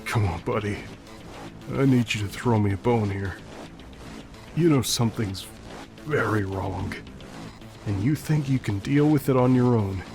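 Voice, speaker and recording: A man's voice: fairly low pitch, unhurried, pauses often. A man playing a laid-back, wry skeleton lab assistant in a comic dub, speaking casually with dry, hesitant humor. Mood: earnest. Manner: casual, hesitant, dryly joking. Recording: faint music behind the voice.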